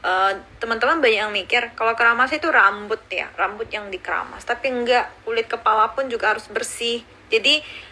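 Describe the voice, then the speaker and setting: Woman in her thirties, from Indonesia, cheerful livestream chatter, laughs a lot, bright ringing voice, phone mic. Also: on the high side, brisk. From grew up in Indonesia. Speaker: livestreaming YouTuber. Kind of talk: casual live chat answering viewer comments. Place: outdoors or at home in Seoul.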